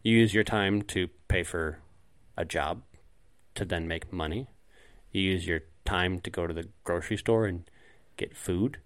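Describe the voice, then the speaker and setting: A man's voice: low voice, measured pace. A man co-hosting a podcast in casual chat, explaining points at length with frequent ums. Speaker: podcast co-host.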